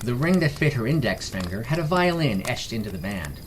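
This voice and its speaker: A man's voice: medium pitch, sing-song, brisk, noisy background. A man reading scripted fantasy story narration as the narrator of a fiction podcast. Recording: music behind the voice. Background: background music.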